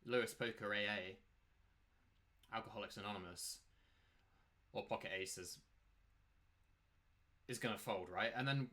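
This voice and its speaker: Bright-voiced man commentating live poker. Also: fairly low pitch, measured pace, pauses often. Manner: rambling, emphatic, repeats rhetorical questions. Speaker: poker player and coach commentating his own play.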